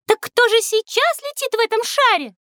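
A woman playing an inquisitive, wide-eyed little child in a children's educational audio drama, full of expressive wonder. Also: very high-pitched, moderate pace, dry recording, clean studio sound.

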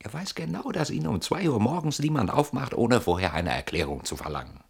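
An actor in a radio drama playing a fearful, suspicious husband, speaking in a hushed, urgent, husky voice. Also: male voice, medium pitch, very animated, a little fast, heavily acted. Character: fearful, suspicious husband convinced a stalker lurks outside. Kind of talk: German radio drama acting.

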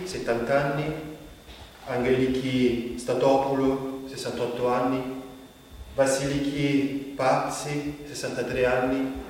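A man acting as a reflective narrator in a bleak stage play, speaking conversationally in halting, broken phrases with pauses. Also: mid-range voice, slight background noise, close to an everyday voice. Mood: deliberate, matter-of-fact. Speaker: stage actor. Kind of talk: theatrical monologue, conversational storytelling. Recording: echoey hall, distant mic, music behind.

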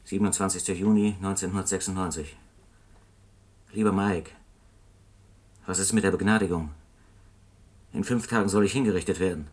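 Deep-voiced condemned prisoner. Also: male voice, steady tone, normal speed, pauses often. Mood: anxious. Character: anxious condemned innocent prisoner writing letters. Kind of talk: German radio play acting, letter reading.

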